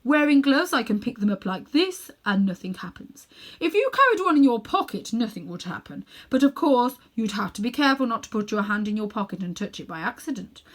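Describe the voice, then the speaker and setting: A woman's voice: a little high, very animated, a little fast. A woman storyteller plays a pompous old uncle from a children's storybook. He is a cruel amateur magician with a smug, condescending manner. Every phrase is haughty and coolly superior. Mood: instructing.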